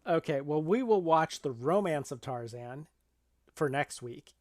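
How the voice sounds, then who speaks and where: Higher-pitched man, casual film podcast host. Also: lively intonation, a little slow, a little mellow, dry recording, clean studio sound.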